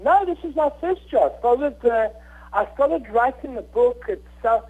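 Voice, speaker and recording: A middle-aged man with a South African accent, speaking fairly high for a man. He answers interview questions haltingly, stopping for uhs and restarting his sentences. The sound is thin and tinny, like a phone line. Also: lively intonation, dark tone. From Rhodesia, now Zimbabwe. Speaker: author guest.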